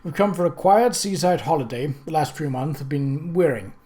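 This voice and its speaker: A man's voice: on the high side, moderate pace, warm tone, close to an everyday voice. Mild English lord played by a male narrator, courteous, reserved, polite, period novel reading.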